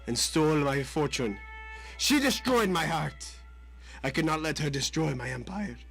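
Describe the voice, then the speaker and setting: A man's voice: medium pitch, natural voice. A man playing a menacing, melodramatic Russian mob boss in a radio drama, with a Russian accent and broken English.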